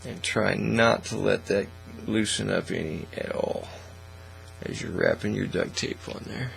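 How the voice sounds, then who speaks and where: A middle-aged man giving a casual, folksy, unhurried how-to demonstration at an indoor hobby bench. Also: lowish voice, vocal fry, a bit bright, poor audio. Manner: folksy, unhurried step-by-step talk. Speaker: hobby craft tutorial host. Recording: faint mains hum and noise.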